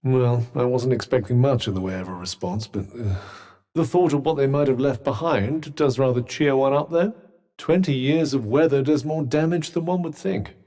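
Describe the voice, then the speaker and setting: A man's voice: mid-range voice, a little mellow, natural voice. A man with a British accent voicing a haughty, sardonic magical cuff in a video game, speaking with dry, articulate understatement. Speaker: voice actor. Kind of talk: video game companion dialogue.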